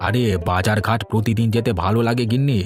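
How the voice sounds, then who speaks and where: A man's voice: lowish voice, fast talker, close to an everyday voice. A man voicing a contented old village husband in a cartoon, affectionate and coaxing in the way he talks. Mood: fond, contented. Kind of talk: animated cartoon dialogue. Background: music.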